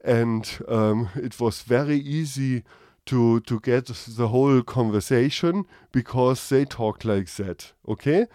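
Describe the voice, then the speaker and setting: Man acting careful German-accented English speaker, slow, deliberate, hesitant, mid pitch, dry podcast recording. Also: warm tone, no echo, natural voice. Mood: self-conscious. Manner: halting, over-deliberate, many hesitations.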